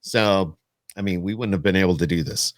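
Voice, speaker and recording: A man's voice: sits low, a little fast, no echo, studio quality. A man hosting a casual remote interview, talking in an informal, rambling and self-correcting way. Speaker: podcast host, radio interviewer.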